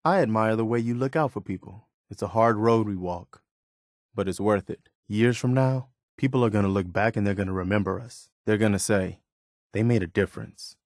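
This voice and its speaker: An actor playing an earnest, principled and loyal soldier in a video game, speaking in a sincere, plain-spoken way. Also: male voice, fairly low pitch, normal speed, a little mellow, no echo, studio quality, close to an everyday voice. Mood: earnest, hopeful. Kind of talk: video game companion voice lines.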